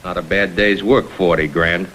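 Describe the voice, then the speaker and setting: A man's voice: sits low, steady tone, normal speed, fairly bright tone, slight background noise, poor audio. An American man playing a hard-nosed, sceptical police lieutenant in a vintage TV crime drama, blunt and terse. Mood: sardonic. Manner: blunt, terse, world-weary.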